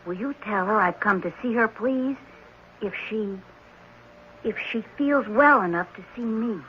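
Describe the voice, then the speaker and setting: A woman's voice: on the high side, unhurried, lightly acted. A woman playing an earnest, polite and determined young boy in a 1970s radio drama. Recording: dull, slightly noisy 1970s radio recording.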